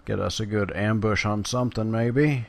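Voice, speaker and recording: A man's voice: fairly low pitch, fairly even intonation, normal speed, creaky. A man giving playful, casual commentary as he plays a video game, talking to himself and the game. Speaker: gaming video host.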